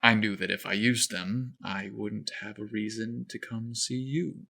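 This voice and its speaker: Man acting a timid, bashful young guy, slow-paced. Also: lowish voice, fairly even intonation, gravelly, sharp tone, heavily acted. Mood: timid, confessing. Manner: timid, hesitant, halting.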